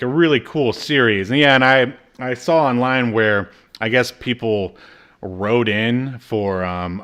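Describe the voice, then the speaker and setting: Low-voiced man chatting casually. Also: fairly bright tone. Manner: informal, joking. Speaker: podcast co-host. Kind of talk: casual review chat.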